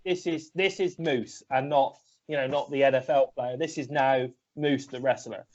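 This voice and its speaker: A man's voice: medium pitch, steady tone, normal speed, a little mellow. Stuffy-nosed British man chatting wrestling. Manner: rambling, hesitant, full of restarts. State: bunged up with a cold. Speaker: podcast co-host. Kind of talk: casual wrestling chat. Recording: dull lo-fi sound.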